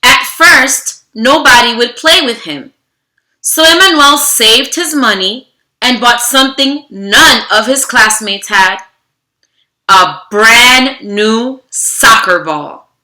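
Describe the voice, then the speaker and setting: A woman with a fairly high, bright voice speaks as a teacher reading aloud to children. She takes her time and is theatrical and expressive, addressing her listeners directly. The room adds a noticeable echo. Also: slow pace. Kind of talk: children's read-aloud with animated greeting. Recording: roomy, echoing room sound.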